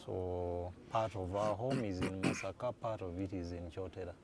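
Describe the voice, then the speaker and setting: A Ugandan man in his forties, answering interview questions in an unhurried, thoughtful way as he recalls dates and places. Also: low-pitched, fairly even intonation. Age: 40s. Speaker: guest. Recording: noisy room ambience.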